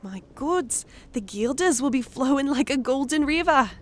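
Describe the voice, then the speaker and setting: A man voicing a sharp, money-loving fantasy woman, dour and excitable, in an audiobook. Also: very high voice, normal speed, pitched above the performer's own voice. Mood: gleeful. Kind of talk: audiobook character voice.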